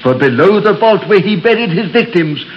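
Old preacher guy, grave and pleading, slightly high voice, dull old radio sound. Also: lively intonation, moderate pace, a little hiss or hum. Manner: grave and earnest. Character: solemn elderly male preacher, fearful and pleading. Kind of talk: 1930s radio drama acting. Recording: muffled old radio broadcast.

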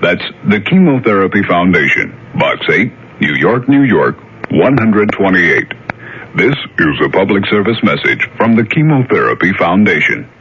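A male announcer reading a public service message in an earnest, deliberate way. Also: deep voice, sing-song, a bit bright, slightly rough. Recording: dull old radio recording.

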